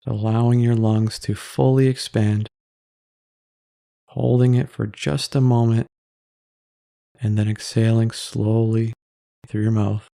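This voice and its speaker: A man leading a guided meditation in a soft-spoken, measured, calming way with pauses between phrases. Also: lowish voice, gravelly, dry recording, studio quality.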